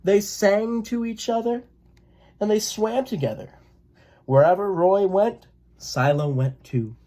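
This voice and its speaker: A person reading a children's picture book aloud in a gentle, expressive way. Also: mid-range voice, measured pace. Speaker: read-aloud storyteller.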